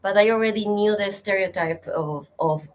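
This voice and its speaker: A woman's voice: mid-range voice, moderate pace. Woman with a hesitant manner. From Mexico. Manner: hesitant, restarts phrases while searching for words. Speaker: guest. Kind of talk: casual conversation.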